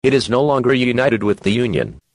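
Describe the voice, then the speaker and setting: A man's voice: mid-range voice, very animated, a little fast, no room sound. A man reading a scripted emergency broadcast announcement in a formal, impersonal manner.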